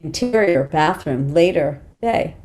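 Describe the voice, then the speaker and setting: A woman's voice: low-pitched, animated, a little slow. A woman reading script directions in a plain, matter-of-fact way at a table read. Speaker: stage directions reader.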